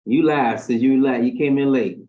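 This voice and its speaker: A man teaching a university class, briskly prompting students to introduce themselves in an informal way. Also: mid-range voice, dark tone. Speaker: university instructor. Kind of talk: leading class introductions on a video call. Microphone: video call. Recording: tinny video-call audio.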